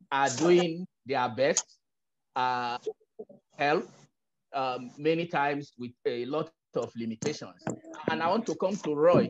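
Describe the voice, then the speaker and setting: A man's voice: on the high side, background noise. A man chairing a panel discussion over a video call, speaking hesitantly with many fillers. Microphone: laptop camera on a video call. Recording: dull video call audio.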